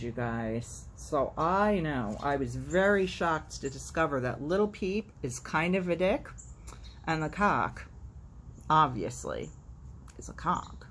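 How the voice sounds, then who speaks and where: A woman hosting storytime, reading expressively and dropping in playful, sardonic, chatty asides. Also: low-pitched. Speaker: storytime host. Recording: faint background noise.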